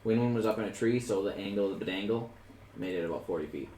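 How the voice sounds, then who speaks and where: A man narrating as dungeon master for friends at a table, relaxed and joking. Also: lowish voice, quick pace. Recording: echoey room, light background noise.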